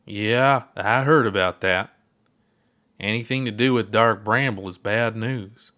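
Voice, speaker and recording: A man's voice: fairly low pitch, unhurried, bright, forceful tone. A man reading aloud, voicing an older, laid-back alien space explorer who talks in an easygoing, unbothered way. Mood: relaxed.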